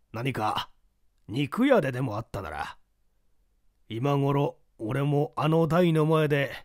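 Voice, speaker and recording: A man's voice: on the high side, no room sound, studio quality. A male narrator voicing a man who looks back on his reckless youth in an easygoing, reflective way. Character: young man, later older and wry.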